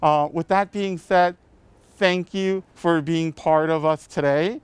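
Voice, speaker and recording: A man's voice: a little high, fairly even intonation, slow, smooth, dry recording. A middle-aged man speaking as a sponsor's representative, giving a polite, earnest prepared welcome speech.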